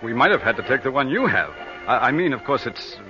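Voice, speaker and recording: Actor as genial gentleman host, lively intonation. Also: medium pitch, quick pace, close to an everyday voice. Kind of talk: 1940s radio acting. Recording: dull old radio recording with music.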